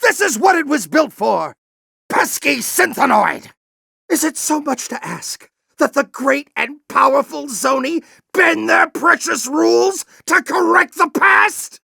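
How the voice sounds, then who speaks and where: Gruff old alien general, intense. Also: male voice, very high voice, animated, a little slow, sharp tone, no echo, heavily acted. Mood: defiant, angry. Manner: commanding, intense, theatrical. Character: grizzled Lombax general, anguished, fanatical old warrior alien. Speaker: military commander and mentor. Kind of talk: video game voice acting, combat barks and dramatic speeches.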